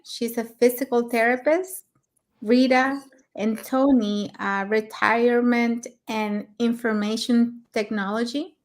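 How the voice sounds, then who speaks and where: A middle-aged woman from Mexico on a webinar panel, briskly reading out lists and reminders. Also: a little high.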